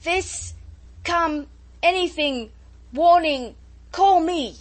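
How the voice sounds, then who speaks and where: A stolid ship's captain from a sea novel, performed by a woman narrator, straining to be heard in a storm. The words are terse and shouted, raised well above a talking pitch. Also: drawn-out words, exaggerated voice. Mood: upbraiding.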